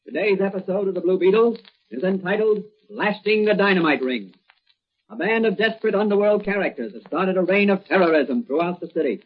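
A man's voice: sits high, expressive, a little fast, husky. A man announcing a 1940s radio drama in a portentous, declamatory style, on a muffled old recording. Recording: muffled old radio broadcast with music bed.

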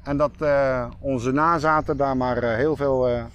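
A man's voice: medium pitch, fairly even intonation, measured pace, clean tone, dull audio. A middle-aged man chatting casually as a podcast co-host, reflective and circling back to his point. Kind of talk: casual chat.

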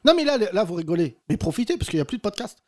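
High-pitched male podcast host, teasing and provocative, fires off jokes. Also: very animated, racing, darkish tone. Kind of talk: joking banter with guests.

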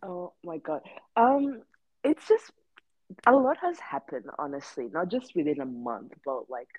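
Low-voiced young woman chatting loosely. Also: very animated, a little slow, no echo, muffled recording. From Rwanda. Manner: rambling, many fillers and restarts. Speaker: podcast co-host. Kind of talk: casual chat.

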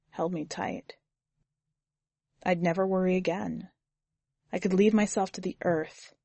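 A woman reading scripted first-person fiction as a narrator, with measured, grave, deliberate phrasing. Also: fairly low pitch, darkish tone, dry recording. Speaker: podcast editor and story narrator.

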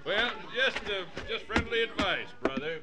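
Folksy old-west local, swoopy chatty drawl, old radio western, loud music behind. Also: male voice, sits high, expressive, moderate pace. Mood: amused, cautioning. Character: chatty, chuckling small-town western local, slyly cautioning. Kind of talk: 1950s radio western acting.

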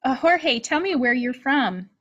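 A woman's voice: high voice, lively intonation, unhurried, fairly bright tone, highs cut off. A woman hosting an interview over a video call, welcoming her guests in an upbeat way. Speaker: host.

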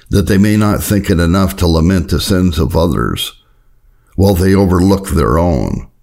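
A man reading an old sermon aloud as an audiobook narrator, in a solemn, earnest, measured and formal way. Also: deep voice, creaky, a little mellow. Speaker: audiobook narrator of Puritan writings.

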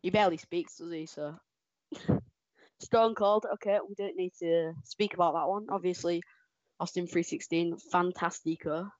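A woman co-hosting a casual podcast, excitable and quick to laugh. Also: on the low side, dry recording, muffled recording. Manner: excitable, laughs often, animated outbursts. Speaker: podcast co-host. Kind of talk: casual chat ranking wrestlers.

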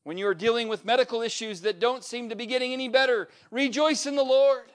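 A male pastor preaching a sermon, building up to a repeated refrain and swinging between loud peaks and quiet, low asides. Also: fast talker. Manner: emphatic preacher with swings in pitch and loudness, repetitive refrain.

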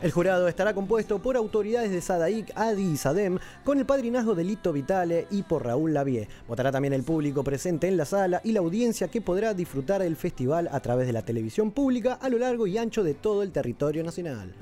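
A man hosting a radio show, reading music news in an Argentine Spanish accent with a steady announcer delivery. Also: high voice, fairly quick, hardly any pauses.

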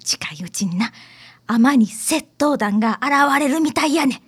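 A woman's voice: sits high, expressive, a little slow, breathy edge, heavily acted. A woman playing a chatty, dramatic housewife with a Kansai accent in a radio drama. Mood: secretive. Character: chatty, dramatic, gossipy city housewife client.

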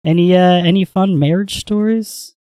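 A man's voice: high voice, steady tone, normal speed, mellow, dry recording, clean studio sound. A man who runs a casual chat with friends in a chatty, easy way, asking questions. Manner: chatty, laughing interviewer.

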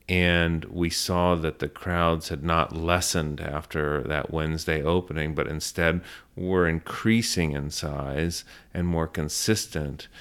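A man's voice: low-pitched, a bit bright, clean tone. A middle-aged American man telling a story conversationally in an interview, earnest and reflective, circling back over phrases for emphasis. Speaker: small business owner being interviewed.

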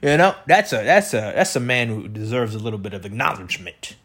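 A man with a loud, projecting voice rambling through a comedic solo monologue as a podcast host, repeating himself and tossing out rhetorical questions. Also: medium pitch, lively intonation, fairly quick, breathy edge. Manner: repetitive, riffing with catchphrases and rhetorical questions. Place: indoor room beside an open window.

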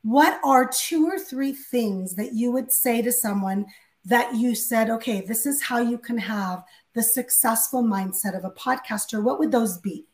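A woman hosting an interview, with a naturally hoarse and slightly nasal voice. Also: on the high side, a little slow, roomy sound. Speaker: interview host. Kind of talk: interview chat.

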